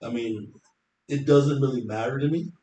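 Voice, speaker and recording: Man, deep voice, confident, talkative, middle pitch, echoey room, faint background noise. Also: steady tone, moderate pace, dark tone. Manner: talkative, confident, explaining at length. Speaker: hairstylist guest. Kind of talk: casual interview chat.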